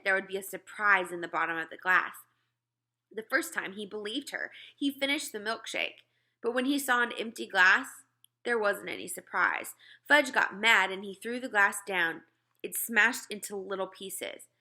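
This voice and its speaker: A woman narrating a children's novel as an expressive read-aloud. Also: medium pitch.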